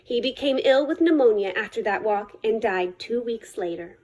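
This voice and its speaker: Female storyteller for children's picture book: high voice, lively swooping intonation, deliberate dramatic phrasing. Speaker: reader of a children's picture book, library staff. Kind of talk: expressive read-aloud storytelling for children.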